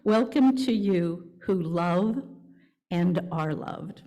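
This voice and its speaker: A woman worship leader with a lowish, mellow voice addresses a congregation. She reads out her welcome in short, deliberate phrases, leaving pauses between them and taking her time throughout. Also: animated. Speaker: worship leader at a church service. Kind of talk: reading a welcome and announcements to a congregation. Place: church service, speaking to a congregation.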